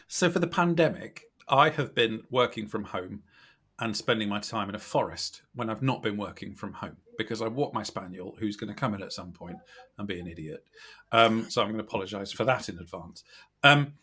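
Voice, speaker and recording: A British man with a slightly scratchy, croaky voice, chatting casually as a podcast guest in a wry, self-deprecating, animated way. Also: on the low side, moderate pace. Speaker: podcast guest, recruitment company director. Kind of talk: casual chat.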